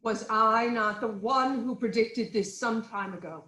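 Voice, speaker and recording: An actress playing a regal queen, an anxious but firmly reassuring wife, in a formal, deliberate reading of Greek tragedy. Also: a little high, pushed and bright, roomy sound.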